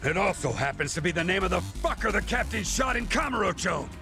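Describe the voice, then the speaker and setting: An actor voicing a suspicious, menacing gangster guard in a video game dub, sneering and confrontational. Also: male voice, sits high, very animated, a little fast, vocal fry, pushed and bright, soft background music, heavily acted. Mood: threatening.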